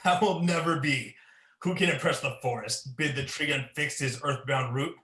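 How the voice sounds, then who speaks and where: Guy doing ambitious shakespeare king, declaiming, tense bright voice, roomy sound. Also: medium pitch, normal speed, lightly acted. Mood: confident, scheming. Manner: declamatory, commanding, theatrical. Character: ambitious, guilt-ridden, demanding warlord king. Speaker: student performer. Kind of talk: Shakespearean radio play acting. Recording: echoey room.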